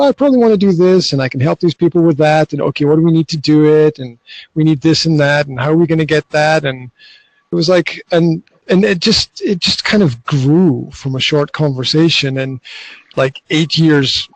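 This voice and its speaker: A middle-aged man with a slightly raised pitch talks loosely and easily, doubling back on his words and acting out little remembered exchanges. The sound is close and dry, with little room around it, picked up on a phone outdoors on a balcony. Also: brisk, no room sound, low-quality recording. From Scotland. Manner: relaxed, rambling, with frequent restarts and quoted asides. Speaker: podcast host and business coach. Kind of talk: casual conversation over an app. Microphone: mobile phone.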